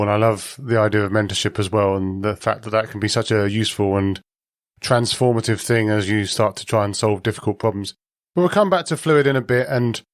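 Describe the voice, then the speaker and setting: A man's voice: mid-range voice, dry recording, studio quality. A man hosting a podcast, asking long, conversational questions. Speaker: podcast host. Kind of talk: podcast interview.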